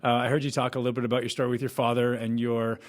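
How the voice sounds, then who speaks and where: A man's voice: mid-range voice, little pitch movement, very fast. A man hosting a podcast, introducing his guest in an enthusiastic, effusive way with frequent "um"s. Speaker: podcast host. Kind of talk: casual podcast intro and interview.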